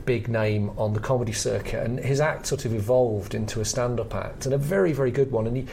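A middle-aged British man with a creaky voice at medium pitch, explaining things conversationally as a podcast co-host. He is reflective and hesitant, feeling his way through each thought. There is faint noise behind him. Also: fairly quick, mellow. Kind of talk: conversational analysis of comedy history.

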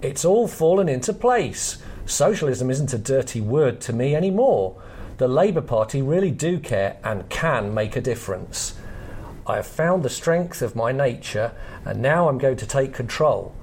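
A man's voice: mid-range voice, very animated, normal speed, a little mellow, slight background noise. A man voicing an earnest, idealistic voter as he reads a political comic strip aloud. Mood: earnest, rousing.

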